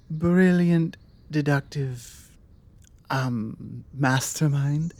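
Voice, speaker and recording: A woman with a British accent playing a forward, bookish, flirtatious vampire in an intimate ASMR roleplay, musing in a soft, wordy, playful way with hesitant pauses. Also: low-pitched, heavily acted. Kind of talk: ASMR audio roleplay, one-sided intimate conversation.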